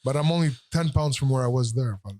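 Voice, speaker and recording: Raspy man, blunt debater, dry close podcast mic. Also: mid-range voice, quick pace, mellow, no room sound. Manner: blunt, argumentative. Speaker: podcast co-host. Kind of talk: casual chat.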